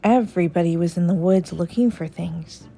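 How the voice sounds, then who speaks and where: A woman reading a children's story aloud as an expressive storyteller. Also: lowish voice, normal speed, vocal fry. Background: soft music.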